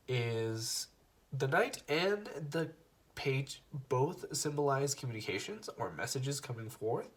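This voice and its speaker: A young man with a cold, giving a relaxed tarot reading straight to his viewers, with plenty of fillers. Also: mid-range voice. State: fighting off a cold. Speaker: tarot reader. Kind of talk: casual tarot reading to camera.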